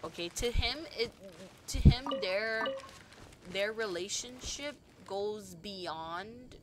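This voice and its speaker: A woman streamer rambling excitedly about a game story while she plays, full of restarts and fillers. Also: medium pitch, slow, very noisy. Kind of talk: rambling gameplay commentary. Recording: loud game music behind the voice.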